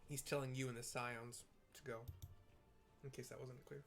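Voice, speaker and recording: A man's voice: medium pitch, normal speed, creaky, faint music behind. A man narrating game cutscenes in a casual, plain-spoken way. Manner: flat, plain-spoken describing.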